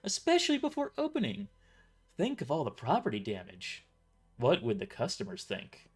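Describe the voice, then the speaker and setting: A man's voice: sits high, huge pitch swings, moderate pace, darkish tone, soft background music, pitched above the performer's own voice. A man voicing a sarcastic, tough young waitress in a raised, mocking voice.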